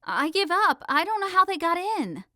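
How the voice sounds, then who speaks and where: A woman acts out an excitable, stubborn teenage girl in an audiobook. Her voice is high and bright, with very lively pitch. She speaks in a dramatic, emphatic way. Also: moderate pace, exaggerated voice. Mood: exasperated. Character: excitable, stubborn sixteen-year-old girl. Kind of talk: audiobook character dialogue.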